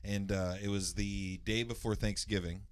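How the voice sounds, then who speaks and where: A man from the Indianapolis area with a naturally loud voice, casually telling a long personal story, rambling with lots of fillers and restarts and clearing his throat. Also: lowish voice, steady tone, normal speed. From Indianapolis area, USA.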